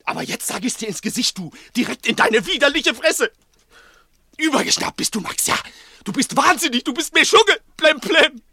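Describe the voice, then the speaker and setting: A man's voice: very high voice, big pitch swings, normal speed, gravelly, bright, forceful tone, husky, no echo, clean studio sound, heavily acted. A male actor playing a nervous, resentful small-time crook in an agitated, insistent way in a 1960s German radio play. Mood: taunting, agitated. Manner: agitated, insistent, repeating himself.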